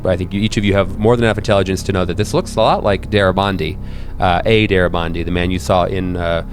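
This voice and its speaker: A man improvising horror narration as a game master, feeling his way haltingly through descriptions. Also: sits low, steady tone. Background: background music.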